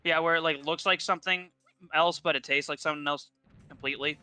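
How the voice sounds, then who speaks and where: A man's voice: a little high, steady tone, fast, pushed and bright, clear, resonant tone, no room sound, faint music behind. A man chatting casually during a game recording, rambling, restarting his sentences and laughing often. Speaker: co-host, friend on the stream. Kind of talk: casual gaming chat.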